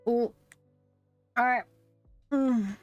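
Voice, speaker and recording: A woman's voice: a little high, fairly even intonation, drawn-out words, a little mellow, muffled recording, soft background music. A woman streaming a game casually, reading its dialogue aloud into a close mic.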